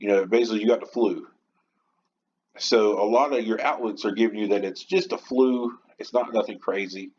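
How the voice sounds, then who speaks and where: A man's voice: lowish voice, normal speed, darkish tone. A man hosting a podcast, talking in an informal, passionate, rambling monologue without a script. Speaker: podcast host. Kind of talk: unscripted rant-style podcast monologue. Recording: dull lo-fi audio with clear background noise.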